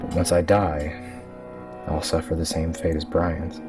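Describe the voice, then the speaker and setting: A man's voice: low voice, little pitch movement, measured pace. A man reading a scripted horror story as a dramatic narrator. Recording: distant mic with music underneath. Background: music.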